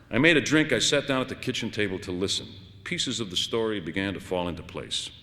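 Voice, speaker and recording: A man's voice: on the low side, a bit bright, long reverb tail. A man narrating a first-person literary story in a conversational, wry, dramatic way as an audiobook reader.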